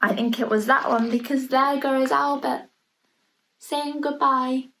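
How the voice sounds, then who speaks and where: Slow, high-voiced woman reading a storybook. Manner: expressive storytelling, pausing to point out pictures. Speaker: storybook reader. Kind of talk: reading a children's picture book aloud.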